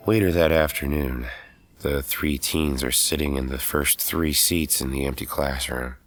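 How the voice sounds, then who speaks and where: A man's voice: rumbling low, fairly even intonation, a little slow, a bit bright. A man, middle-aged, acting as a world-weary former hero turned professor who narrates his own story in an audio drama. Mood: measured narration. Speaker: narrator and lead actor. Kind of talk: scripted first-person audio drama narration. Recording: music under the voice.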